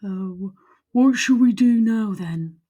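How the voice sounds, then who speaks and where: Woman playing a slow, ponderous big skeleton fellow. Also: medium pitch, warm tone, highs cut off. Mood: pondering. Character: big, slow-witted, good-natured male skeleton. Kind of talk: storybook read-aloud.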